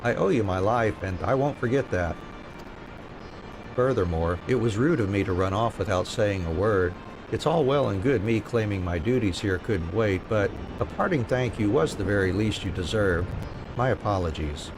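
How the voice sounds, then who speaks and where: A man's voice: on the low side, normal speed. A man streaming a game, reading story dialogue aloud and chatting casually. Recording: steady noise behind the voice.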